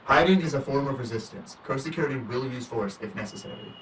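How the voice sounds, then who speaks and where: A man's voice: medium pitch, fast, fairly bright tone, lightly acted. A man playing a stern, threatening security announcer in an audio drama, speaking in a clipped, official way. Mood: stern warning. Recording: echoey announcement, distant from mic, faint noise.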